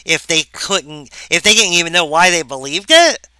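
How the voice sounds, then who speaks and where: A woman giving a recorded philosophy lecture, halting and full of wry asides to the viewer. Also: on the low side, big pitch swings, sharp tone, smooth, no echo, rough recording.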